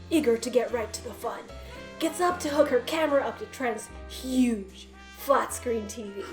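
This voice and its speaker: A woman's voice: sits high, a little mellow. A woman narrating a comic story as a dramatic reading. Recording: roomy echo, music behind.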